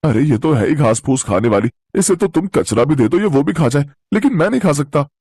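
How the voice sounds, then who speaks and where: A man's voice: a little high, very animated, brisk, a little mellow, slightly rough, no room sound, studio quality, full character voice. A man voicing a greedy, boastful, chubby city boy who complains brattily in a cartoon story. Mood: whiny.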